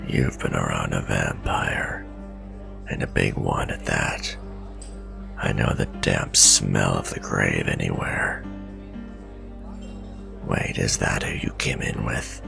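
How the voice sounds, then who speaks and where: Seasoned tavern stranger, acted by a man: fantasy roleplay, wary, folksy, low-key, extremely deep with heavy gravel. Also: steady tone, slow, long pauses, over music, heavily acted. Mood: startled, suspicious. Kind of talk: gothic fantasy ASMR roleplay acting.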